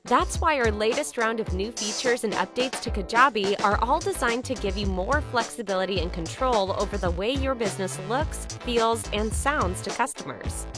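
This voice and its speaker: Higher-pitched woman narrator over clear music. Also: normal speed, hardly any pauses. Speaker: promotional video narrator. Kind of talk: scripted product-update voice-over.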